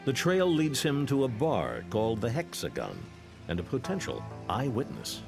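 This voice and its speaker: A man's voice: medium pitch, huge pitch swings, normal speed, gravelly, music in the background. A man with an American accent reading a scripted true-crime documentary narration.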